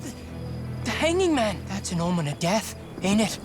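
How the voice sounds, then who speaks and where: An actor playing a timid, earnest, very young male soldier in a war game, halting in speech, with a Newfoundland accent. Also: high voice, animated. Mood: frightened. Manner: hesitant, halting, soft-hearted. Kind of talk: dramatic game acting. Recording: music behind the dialogue.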